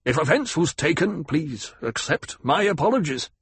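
A male audiobook narrator plays a haughty banker in a science fiction novel. The voice is lifted in pitch, rasping and stiff, with slow, pointed phrasing and a resentful edge. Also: sing-song, dry recording, full character voice. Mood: stiff, fearful.

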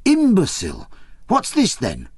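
Male reader doing a loud, excitable peasant woman, shrill cries, lively pitch. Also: moderate pace, dry recording, clean studio sound. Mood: scolding.

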